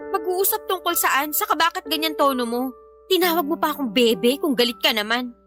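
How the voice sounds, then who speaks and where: High-pitched, exasperated young Filipina partner. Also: female voice, lively intonation, fairly quick, over music. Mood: defensive, annoyed. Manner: sarcastic, scolding. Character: irritated, defiant young mother scolding her jealous live-in partner. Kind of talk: radio drama acting.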